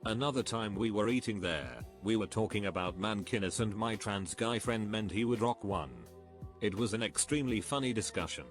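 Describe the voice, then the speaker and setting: A man reading anecdotes aloud as a scripted narrator, at a steady, even pace. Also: lowish voice, moderate pace. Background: faint background music.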